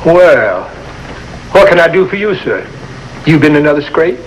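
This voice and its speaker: An actor playing a gruff but kindly small-town American doctor, brusque and folksy like an uncle, in a 1950s television drama. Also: male voice, a little high, big pitch swings, normal speed. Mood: brisk, impatient. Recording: noisy old 1950s television soundtrack.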